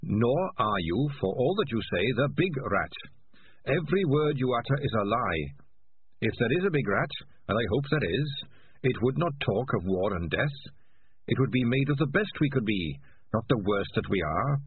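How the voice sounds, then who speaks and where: A man voicing a small, earnest male rat in a storybook reading, calm, polite and thoughtful. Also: medium pitch, normal speed, close to an everyday voice. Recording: tinny, lo-fi sound with faint noise.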